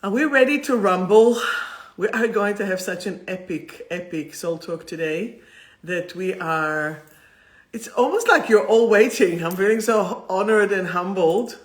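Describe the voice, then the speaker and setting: A woman's voice: mid-range voice, animated, unhurried. A woman with an Israeli accent hosting a chatty livestream spiritual talk in an effusive, playful, rambling manner. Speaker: host of a livestream soul talk.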